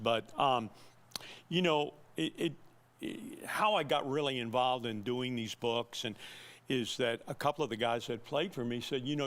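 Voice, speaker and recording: A man's voice: mid-range voice, fairly bright tone. An older American man talking as a coach being interviewed, in a folksy, rambling way that repeats words as it goes. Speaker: baseball coach and guest. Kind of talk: conversational TV interview.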